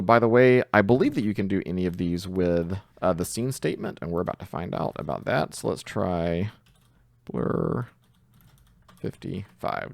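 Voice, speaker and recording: A man's voice: low-pitched, gravelly, darkish tone, no echo. A man giving a casual coding tutorial, thinking aloud informally as he works through it. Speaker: tutorial presenter.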